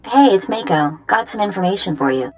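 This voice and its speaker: A woman playing a brisk young sidekick in a video game, casual, wry and confiding. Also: mid-range voice, animated, soft background music, close to an everyday voice. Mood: businesslike.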